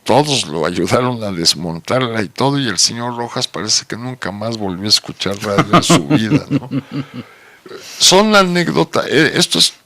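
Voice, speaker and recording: A man's voice: mid-range voice, moderate pace, sharp tone, dry recording. A middle-aged man with a Mexican accent telling anecdotes in a casual radio chat, with colloquial asides. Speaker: radio guest.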